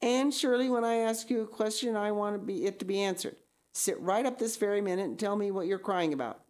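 A woman's voice: low voice, steady tone, moderate pace, dark tone, close to an everyday voice. A woman voicing a stern older female guardian in a storybook, firm and exasperated, giving commands.

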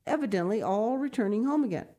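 A brisk village mother read by a woman rushes to pass on news in a raised, hurried voice. The tone is mellow, as in a storybook telling. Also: fairly high pitch, expressive, pitched above the performer's own voice.

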